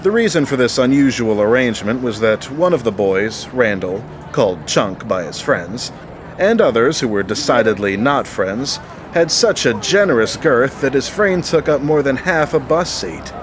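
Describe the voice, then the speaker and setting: A man's voice: medium pitch, lively intonation, moderate pace, background noise. A man narrating a scripted audio drama as the storyteller.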